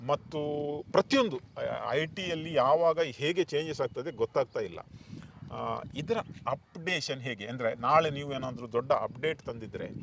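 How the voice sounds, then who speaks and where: A man's voice: medium pitch, normal speed, gravelly, a bit bright, a little hiss or hum. A man hosting an interview with a coastal Karnataka accent, putting questions and summing up points for viewers. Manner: repeats and sums up answers. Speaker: talk show host.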